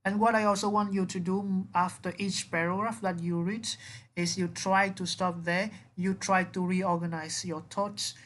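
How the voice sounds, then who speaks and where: A woman's voice: medium pitch, steady tone, moderate pace, a bit bright. A woman English teacher giving an explanatory vocabulary lesson, instructive, often repeating words and rephrasing them with synonyms.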